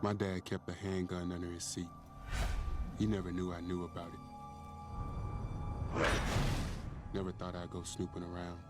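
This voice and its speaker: Man acting a brooding, guilt-ridden game antihero, loud music. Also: low voice, monotone, brisk, close to an everyday voice. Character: brooding, guilt-ridden, violent young man seeking revenge. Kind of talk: dramatic video game cutscene acting. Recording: loud music over game cutscene audio.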